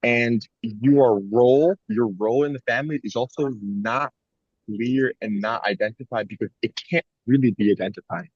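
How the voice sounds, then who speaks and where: A man's voice: mid-range voice, moderate pace, no room sound, highs cut off. A young man co-hosting a podcast, talking in a casual, rambling and reflective way with frequent "like" fillers. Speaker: podcast co-host.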